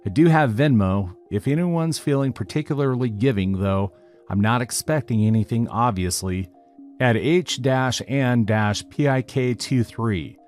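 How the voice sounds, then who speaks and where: A man narrating a written online story in a steady, even reading style. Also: medium pitch, measured pace. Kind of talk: scripted narration of a reddit post. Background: faint background music.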